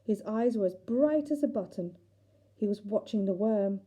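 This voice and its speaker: Mellow woman reading a children's story. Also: mid-range voice, lively intonation, moderate pace, husky. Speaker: teacher reading aloud.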